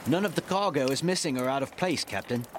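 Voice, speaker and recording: An actor playing a dutiful, level-headed ship's officer who reports formally and respectfully in an audio drama. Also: male voice, mid-range voice, expressive, fast, background noise, close to an everyday voice. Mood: grave, respectful. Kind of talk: period seafaring audio drama acting.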